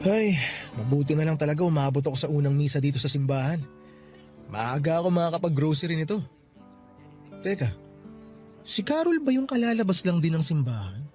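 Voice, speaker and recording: A man plays an easygoing, helpful young office worker in a radio drama. He speaks in a somewhat high male voice, chatty and teasing, with light music behind. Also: animated, fairly quick, pauses often, a little mellow, lightly acted. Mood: cheerful, eager.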